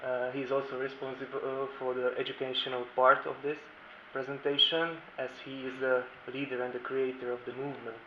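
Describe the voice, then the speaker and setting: A man's voice: mid-range voice, completely flat delivery, brisk, hardly any pauses. A lawyer from Slovakia whose first language is Hungarian gives a formal conference presentation, keeping close to his notes and phrasing things with care. His voice carries the echo of a large conference room. The recording is dull, with a faint hiss underneath. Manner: formal, reads from notes, careful non-native phrasing.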